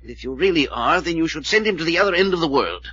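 A man playing an indignant, insistent gentleman, speaking formally and theatrically in an old radio drama. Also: fairly high pitch, lively intonation, fast, slightly rough, no room sound, full character voice. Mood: pleading. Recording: muffled old radio broadcast recording.

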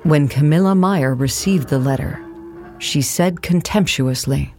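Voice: mid-range voice, measured pace, a little mellow, soft background music, close to an everyday voice. A narrator reading a scripted documentary account for an audio play in a formal, factual manner.

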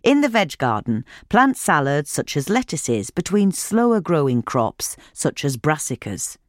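A woman reading a scripted instructional piece in a measured, clearly enunciated way, as a magazine narrator. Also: low-pitched, very animated, no room sound, clean studio sound.

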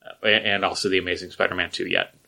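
A man's voice: sits low, level tone, racing, creaky, sharp tone, slightly rough. Rambling man reading off movie news. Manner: rambling, loses words mid-sentence. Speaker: podcast co-host. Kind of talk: casual podcast chat.

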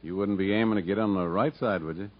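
Actor as a cagey, low-voiced backwoods schemer. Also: male voice, fast talker, close to an everyday voice. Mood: dry, cagey. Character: shady, dry, cagey backwoods still-maker man. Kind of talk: 1950s American radio drama acting. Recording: dull 1950s radio broadcast recording.

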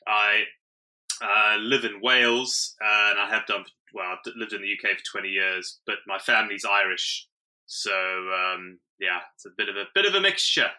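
A man who grew up in South Africa answers a podcast interviewer casually. His voice sits a little low but has a sharp, forceful brightness. He rambles, restarts his sentences and chuckles along the way. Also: normal speed, rough recording. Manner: rambling, self-correcting, chuckles often. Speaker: podcast guest.

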